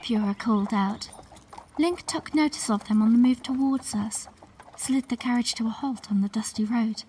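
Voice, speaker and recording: A woman playing a gentle young princess who narrates her memories in a scripted audio drama, measured in delivery. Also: fairly high pitch, a little hiss or hum, lightly acted. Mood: wistful, reflective. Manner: measured, storytelling.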